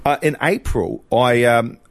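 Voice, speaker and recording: A middle-aged man from New Zealand, a guest sharing his personal testimony in a relaxed, reflective way, with fillers and restarts. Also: mid-range voice, measured pace.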